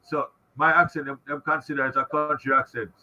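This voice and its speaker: A man with a Birmingham accent, a participant on a video call, talking quickly about how he speaks. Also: fairly high pitch, flat delivery, pushed and bright, dry recording, muffled recording. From England. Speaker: workshop participant. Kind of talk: casual video-call chat.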